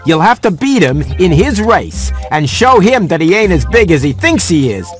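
High, slangy animal sidekick. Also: male voice, big pitch swings, normal speed, faint music behind. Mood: wry, instructive. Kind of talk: scripted game cutscene dialogue.

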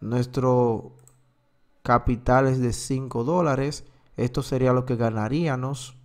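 Slow-talking man narrating a screen recording. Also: mid-range voice, steady tone, a little mellow. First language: Spanish. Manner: slow, filler-heavy explaining. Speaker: crypto platform reviewer.

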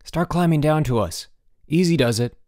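A bold storybook schoolboy, acted by a man, raised a little in pitch and very animated. He takes charge with an urgent, commanding manner, recorded dry. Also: normal speed, dry recording, natural voice. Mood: reassuring, directing.

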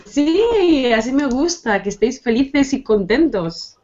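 A young woman with an accent from Spain, teaching a friendly online conversation class, encouraging and quick to laugh. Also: high-pitched, expressive, a little mellow. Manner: bubbly, encouraging, laughs often. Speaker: Spanish teacher. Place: small room at home. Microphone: webcam video call. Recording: dull lo-fi video call audio.

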